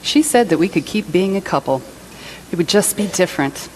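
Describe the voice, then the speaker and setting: Woman acting a wounded, low-voiced jilted partner. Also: expressive, brisk, slight background noise, natural voice, low-quality recording. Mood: hurt indignation. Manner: hurt, indignant, emphatic. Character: wounded, self-pitying woman abandoned by her partner. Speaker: reader in a church service skit. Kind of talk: dramatic monologue reading.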